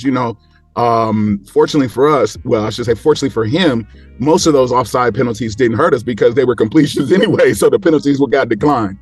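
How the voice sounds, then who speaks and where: A man's voice: medium pitch, breathy edge. A man in casual sports talk as a panel guest, rambling along and repeating phrases for emphasis.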